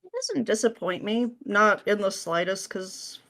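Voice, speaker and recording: A woman's voice: mid-range voice, very animated, unhurried, fairly bright tone. A woman speaking as a podcast guest in a casual, candid, chatty and informal way. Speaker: podcast guest, script writer. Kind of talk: casual interview chat.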